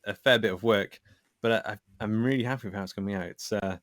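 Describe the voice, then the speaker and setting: A man's voice: on the low side, fast talker, fairly bright tone, no room sound, clean studio sound. A man speaking as a podcast guest in an informal, self-effacing, chatty way. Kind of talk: casual chat.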